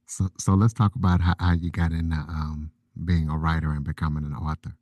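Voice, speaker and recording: A man's voice: rumbling low, level tone, fairly quick, darkish tone. A man from Louisiana hosting a casual interview, asking short, plain questions. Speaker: podcast host.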